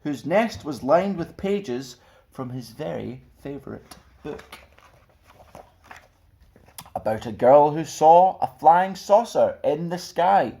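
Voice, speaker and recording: A man tells a children's story with a very animated voice. His pitch moves around freely and he reads with theatrical expression, like the host of a storytime show. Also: slow, gravelly. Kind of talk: expressive children's picture book reading.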